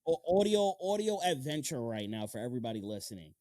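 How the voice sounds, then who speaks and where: A man chats as a podcast host in a dry, close recording. He is slangy and swears freely, repeating himself to make a point. His pitch sits in the middle. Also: sing-song, fast, mellow, no echo. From Long Island, United States. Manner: slangy, profane, repeats words for emphasis. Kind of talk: casual chat interview.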